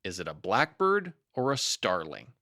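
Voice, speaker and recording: A man voicing a scheming, bossy male rabbit in a storybook, insistent and hurried. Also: lowish voice, wildly swooping pitch, bright, forceful tone, no echo, studio quality, heavily acted.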